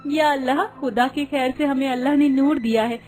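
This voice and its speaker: Theatrical young daughter-in-law played by a woman, very high voice, courteous formal phrasing, family story. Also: steady tone, normal speed, darkish tone, faint music behind, lightly acted. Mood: exasperated. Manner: courteous formal Urdu-flavoured wording.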